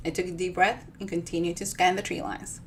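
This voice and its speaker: A woman giving an expressive storytime reading of their own fiction, with chatty, informal asides. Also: on the low side, brisk, a little hiss or hum. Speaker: author reading their own novel on a live show.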